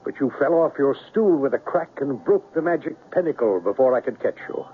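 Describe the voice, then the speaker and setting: An actor playing an amused, theatrical interstellar traveller in a 1970s radio drama. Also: male voice, medium pitch, lively intonation, brisk, husky. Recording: tinny, noisy old radio broadcast.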